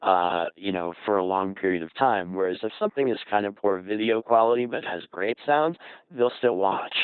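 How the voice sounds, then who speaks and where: A man's voice: fairly low pitch, normal speed, clean tone, no room sound. A man speaking as a guest in a conversational panel discussion, explaining things with everyday examples, heard through a narrow radio transmission. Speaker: panel guest on voice-over audio.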